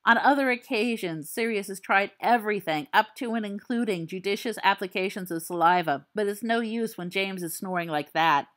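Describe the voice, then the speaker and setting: A woman reading a fan-written story aloud, with expressive, suspenseful storytelling. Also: medium pitch, moderate pace, few pauses. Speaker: audiobook reader.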